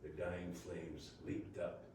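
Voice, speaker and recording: Very echoey, low-voiced male narrator. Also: flat delivery, slow. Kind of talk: dramatic scripted narration of a classic ghost story. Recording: very echoey room, distant mic, faint noise.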